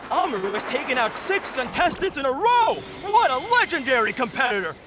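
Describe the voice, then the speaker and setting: An actor playing an excited, dramatic male tournament commentator in a video game, calling it like sports. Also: very high-pitched, brisk, background noise. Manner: dramatic, hyped.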